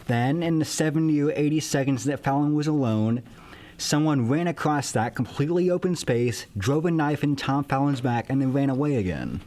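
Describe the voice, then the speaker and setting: A man's voice: medium pitch, moderate pace, darkish tone, natural voice. A man playing a blunt, commanding police captain who interrogates in an exasperated manner, in a radio play. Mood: skeptical. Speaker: amateur student actor.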